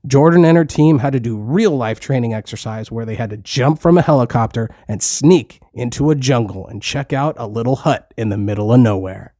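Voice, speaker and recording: A man's voice: medium pitch, brisk, few pauses, mellow, dry recording, clean studio sound. A man reading a scripted story for children as a narrator, in simple, plain language.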